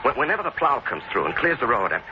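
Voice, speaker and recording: An actor playing a nervous captive husband in an old-time radio drama, halting and stammering as he bargains. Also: medium pitch, sing-song, natural voice. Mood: anxious, pleading. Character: anxious captive husband pleading for his life. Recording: muffled, very noisy old radio recording.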